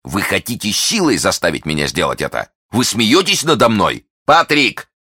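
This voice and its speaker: A haughty duke, played by a male narrator in a storybook drama. He is imperious and easily outraged, speaking in commanding exclamations. The voice sits low and is recorded close and dry. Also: big pitch swings, fairly quick, fairly bright tone, no echo. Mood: alarmed, defiant.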